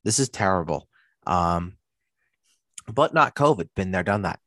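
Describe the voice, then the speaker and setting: A congested man with laryngitis co-hosts a casual podcast, his voice low-pitched and hoarse. He rambles and restarts, speaking close to a dry, close-up mic. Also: expressive, a little slow, a bit bright, dry recording. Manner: rambling, lots of fillers and restarts. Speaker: podcast co-host.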